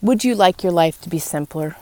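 A woman hosting a podcast, giving an earnest, reflective, encouraging motivational talk about life and faith in short phrases with pauses. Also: fairly low pitch, animated, a little mellow, no room sound. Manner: encouraging, addressing listeners directly. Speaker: podcast host. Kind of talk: personal, faith-based motivational talk to listeners. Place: outdoors in wind.

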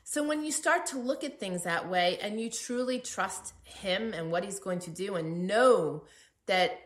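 A woman podcast host shares personal faith encouragement. Her delivery is earnest and emphatic, leaning on repeated words for weight. Her medium-pitched voice rings with noticeable room echo. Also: fairly bright tone. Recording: roomy, echoey sound.

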